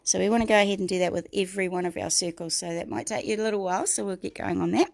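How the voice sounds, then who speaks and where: A middle-aged woman with a New Zealand accent, croaky this morning, chatting through a livestream craft tutorial and greeting viewers by name. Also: medium pitch, steady tone, rapid-fire, darkish tone, no room sound. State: croaky, clearing her throat.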